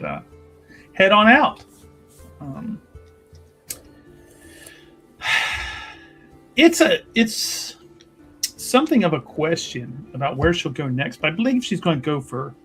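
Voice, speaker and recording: A woman casually narrating her character's actions as a player in a tabletop game, rambling and wry. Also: low-pitched. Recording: faint music behind. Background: soft background music.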